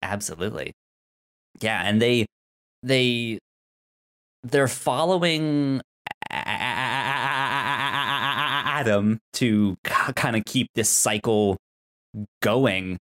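A man co-hosting a casual TV recap discussion, halting and stammering over repeated sounds and words. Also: mid-range voice, a bit bright. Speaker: podcast co-host.

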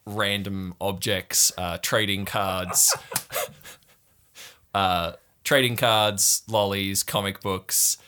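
A man's voice: on the low side, steady tone, extremely slow, pushed and bright, clean tone. A man working as game master, improvising narration for a tabletop game in a wry, playful storytelling manner.

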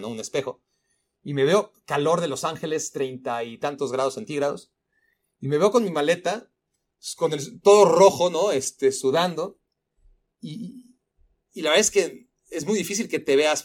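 A man with a Mexico City Spanish accent talks through a loose, rambling monologue as a podcast host. His voice sits a little on the high side for a man, and he leans on fillers and casual swearing. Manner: rambling, frequent fillers and profanity.

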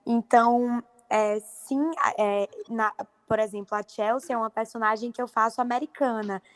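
A Brazilian woman, an actress, talking in an interview with a Brazilian Portuguese accent, lively and expressive. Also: a little high, normal speed, no echo. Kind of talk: interview answers.

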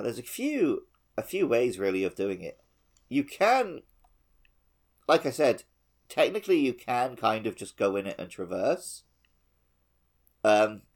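Low, gravelly-voiced podcast co-host man. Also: sing-song, unhurried. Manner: laid-back, dry teasing humor. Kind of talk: casual gaming podcast chat.

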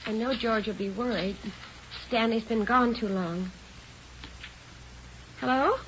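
A woman's voice: a little high, slow. An actress playing a worried but composed mother in her forties in an old radio drama, on a dull, hissy recording. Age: 40s. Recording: dull vintage radio recording with faint hiss.

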